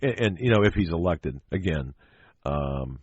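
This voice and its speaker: A middle-aged American man hosting political talk radio in a husky voice, with an emphatic, halting delivery full of rhetorical questions and repeated phrases. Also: deep voice, expressive, no room sound. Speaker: talk show host. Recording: dull, lo-fi, dry radio audio.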